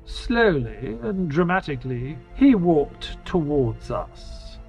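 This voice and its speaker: An actor playing an earnest detective's companion, giving dramatic first-person narration of an adventure. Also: male voice, fairly high pitch, big pitch swings, slow pace, a little mellow, faint music behind, exaggerated voice. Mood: suspenseful.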